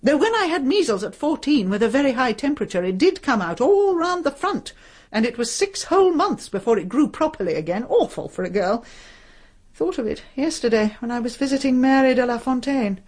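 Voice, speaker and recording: A woman playing a scatty, opinionated middle-aged English crime novelist in a radio drama, husky and given to exclaiming. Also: high voice, very animated, brisk, a little mellow, exaggerated voice. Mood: brisk, curious. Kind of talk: British radio drama acting.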